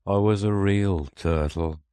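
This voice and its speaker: A man performs a sorrowful storybook turtle with a very low, hollow voice. The creature sighs through its words and takes offence easily, with a pitch that swells and drops expressively. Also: expressive, no room sound, clean studio sound. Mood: mournful. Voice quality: deep, hollow tone. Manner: slow, mournful, sighing. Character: melancholy, sighing old Mock Turtle, easily offended. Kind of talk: storybook character reading.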